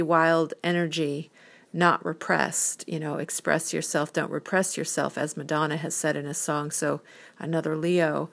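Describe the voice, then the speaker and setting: A woman of fifty musing on her own in a dreamy, rambling, spiritual way, reading her creative writing aloud and then talking freely. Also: fairly low pitch. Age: 50s. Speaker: podcast host. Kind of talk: reflective solo monologue.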